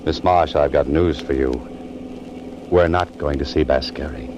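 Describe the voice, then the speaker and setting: An actor playing a wry, tough-talking sleuth in a 1940s radio drama, clipped and sardonic, on a muffled old recording. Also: male voice, very deep voice, steady tone, measured pace, noisy background. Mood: wry, firm. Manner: clipped, sardonic, hard-boiled. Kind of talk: 1940s radio detective acting and narration.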